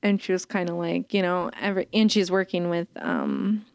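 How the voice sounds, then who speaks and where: A woman's voice: lowish voice, level tone, moderate pace, gravelly, darkish tone, smooth, no room sound, clean studio sound. A woman co-hosting a casual pop culture podcast, chatting in a rambling way full of "like". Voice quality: calls her own voice dull. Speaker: podcast co-host. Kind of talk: casual chat.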